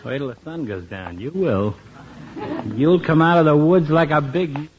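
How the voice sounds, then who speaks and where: An actor playing a slow, wisecracking small-town barber with a drawling, jokey manner, on a dull 1950s radio recording. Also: male voice, fairly high pitch, a little hiss or hum, natural voice. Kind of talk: 1950s radio sitcom acting.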